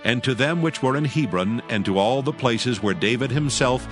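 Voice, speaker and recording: A man narrating scripture as a scripted audio drama, with solemn, measured storytelling. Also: medium pitch, animated. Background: music bed.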